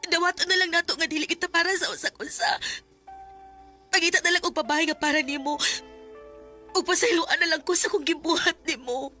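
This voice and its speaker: A woman's voice: extremely high pitch, fairly quick, pushed and bright, soft background music. A woman with a Bisaya Filipino accent playing a torn, sorrowful young wife in an emotive, plaintive radio drama style. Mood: tearful, pleading.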